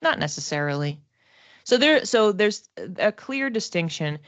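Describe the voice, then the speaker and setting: A woman giving a casual livestream psychic reading, talking to viewers conversationally and thinking aloud in halting phrases. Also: medium pitch, sing-song, no room sound. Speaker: psychic reader and class teacher.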